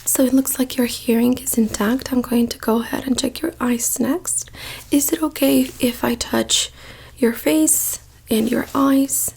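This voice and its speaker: A woman doing a soft-spoken ASMR doctor roleplay in a breathy whisper, gently giving reassuring instructions close to the mic. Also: on the high side, fairly even intonation, unhurried, a little hiss or hum. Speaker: ASMR performer playing a doctor.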